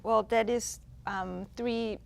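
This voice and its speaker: A woman who runs a music festival, answering TV studio interview questions in a measured, somewhat hesitant way. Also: fairly high pitch, steady tone, gravelly. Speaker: festival executive director and musician.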